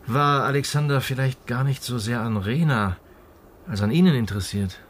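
A man playing a calm, methodical police inspector in a radio crime drama, speaking in a measured, matter-of-fact way. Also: medium pitch, natural voice. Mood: probing, polite. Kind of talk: naturalistic German radio drama dialogue.

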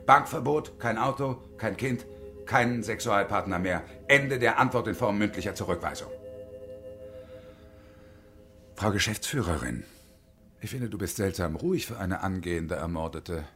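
A man's voice: on the low side, animated, moderate pace, a bit bright, soft background music. A man in a German radio drama playing a desperate, bitter unemployed engineer in his fifties turned hostage taker, with a sardonic, volatile and menacing manner. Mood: cold menace. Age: 50s.

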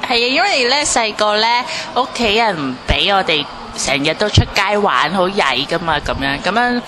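A woman with a Hong Kong Cantonese accent casually recalling things in an interview, in halting phrases. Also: mid-range voice, very animated. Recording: noisy ambient location, vehicle sounds.

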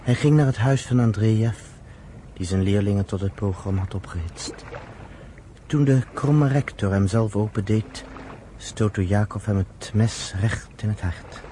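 A sombre radio-play storyteller acted by a man, measured and solemn in delivery. The voice is fairly low and very mellow. The old recording carries faint noise. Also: lightly acted. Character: sombre male storyteller recounting a family's grim history. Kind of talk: dramatic scripted narration.

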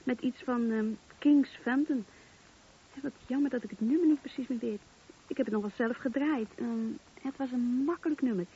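A woman's voice: high-pitched, normal speed, warm tone, slightly rough. An actress playing a chatty, eager switchboard operator who thinks aloud as she talks in a radio play. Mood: eager, recalling. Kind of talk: Dutch radio drama dialogue. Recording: thin phone-like old radio recording.

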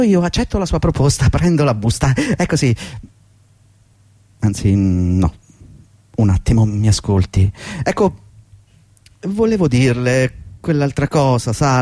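A nervous, deferential company employee in a theatrical radio play. His mellow voice swings widely as he pleads and rambles. The sound is close and dry, and his delivery is halting and eager to please. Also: mid-range voice, no room sound. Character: anxious, fawning, long-serving male office employee facing dismissal. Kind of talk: theatrical radio drama dialogue.

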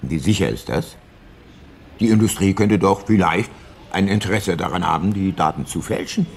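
Low-voiced, shrewd detective. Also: male voice, normal speed, vocal fry. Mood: probing. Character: shrewd, self-assured older male private detective. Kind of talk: radio play narration and dialogue.

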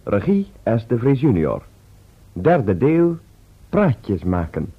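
A man's voice: big pitch swings, takes it slowly. A man announcing the titles of a radio serial. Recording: dull old radio recording with rumble.

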